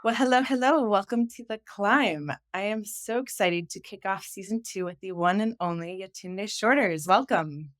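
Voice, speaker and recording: A woman hosting a podcast, reading a polished guest intro and then chatting with eager excitement. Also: mid-range voice, normal speed, hardly any pauses, no room sound, studio quality. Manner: enthusiastic, bubbly and loud in conversation. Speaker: podcast host. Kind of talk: scripted intro, then casual interview. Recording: intro read over music.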